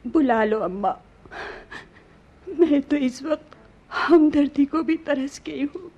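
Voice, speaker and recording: High-voiced, sorrowful young princess. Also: female voice, expressive, lo-fi sound, exaggerated voice. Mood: hurt, plaintive. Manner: plaintive, slow, theatrical Urdu diction. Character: young lovesick Chinese princess, sorrowful and insistent. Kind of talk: radio drama acting.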